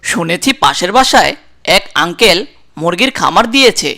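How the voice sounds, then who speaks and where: A woman voicing a caring young guardian in a children's cartoon, coaxing and gently teasing, with a Bangladeshi accent. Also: lowish voice, animated, moderate pace, gravelly, full character voice.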